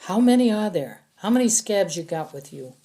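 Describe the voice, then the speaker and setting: A man's voice: high voice, sing-song, moderate pace, warm tone, pitched above the performer's own voice. A narrator voicing a tough, threatening male soldier who interrogates in clipped demands, in an audiobook. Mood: commanding. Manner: gruff, demanding, clipped commands. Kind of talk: audiobook character acting.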